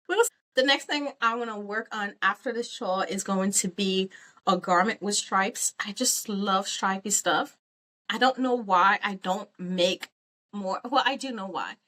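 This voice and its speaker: A woman who grew up in Haiti talks to her viewers in a loose, rambling vlog. Her pitch sits squarely in the middle. She fills pauses with ums and pokes fun at herself as she goes. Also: bright, forceful tone. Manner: chatty, rambling, self-teasing, with frequent ums. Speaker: crochet vlogger. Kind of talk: casual vlog chat.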